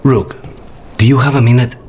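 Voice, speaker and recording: A man's voice: medium pitch, fairly even intonation, normal speed, music in the background, close to an everyday voice. A man voicing a brooding, courteous assassin with a dry, guarded manner in a video game cutscene. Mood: soft, reflective.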